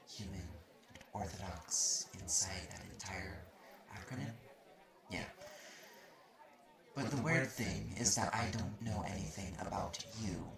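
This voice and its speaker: A man's voice: fairly low pitch, gravelly, echoey room. A man playing a haughty, slightly mean magic-university student in an audio roleplay, explaining things in a curt, condescending way. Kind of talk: audio roleplay acting addressed to the listener. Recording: noisy recording with clicks.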